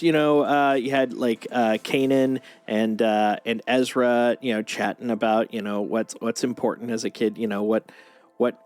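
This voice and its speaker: A man chatting casually as a livestream host, showing things off in an enthusiastic, geeky, rambling way. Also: mid-range voice. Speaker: streamer, host. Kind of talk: casual livestream chat, showing off collectible toys. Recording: music playing behind the voice. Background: music.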